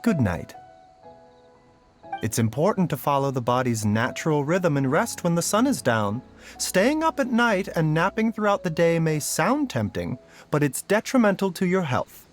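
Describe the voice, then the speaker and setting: Lilting, courteous gentle doctor. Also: male voice, on the high side, sing-song. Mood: gentle, apologetic. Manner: measured, polite, gently admonishing, articulate. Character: gentle, scholarly male doctor, courteous and cautious. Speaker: doctor character in a fantasy game. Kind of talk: scripted game voice acting. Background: background music.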